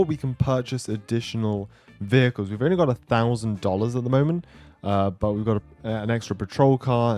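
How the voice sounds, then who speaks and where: A man's voice: lowish voice, darkish tone. A man giving casual gameplay commentary as a video host, thinking aloud as he goes. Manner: thinks aloud, talks himself through decisions. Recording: music behind the voice.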